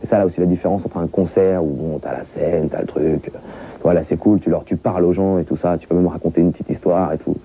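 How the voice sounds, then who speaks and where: Suburban French man, low husky voice, rapid slangy interview talk, tinny recording over faint music. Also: fairly even intonation, slight background noise. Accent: Paris suburbs. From Montreuil, France. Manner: loose, slangy, full of fillers. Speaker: reggae artist interviewee. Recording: tinny lo-fi audio with music behind.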